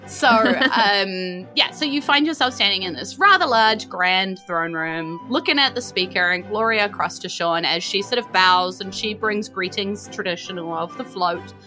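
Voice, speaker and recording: Chatty New Zealand woman, bright voice. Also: medium pitch. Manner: chatty, giggly, hesitant. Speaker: dungeon master. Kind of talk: improvised narration of a tabletop game.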